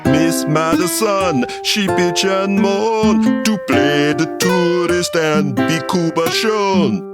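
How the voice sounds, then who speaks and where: An actor playing a mournful Cuban balladeer who half sings his recap in broken English in a comedy audio drama. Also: sits high. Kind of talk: sung-spoken improvised musical narration. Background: plucked guitar music.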